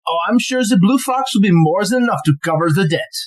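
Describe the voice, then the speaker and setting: An actor playing a cunning, smug blackmailer in an audio play, insinuating and coolly threatening. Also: male voice, sits high, lively intonation, fairly quick. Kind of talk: stage-like audio drama acting.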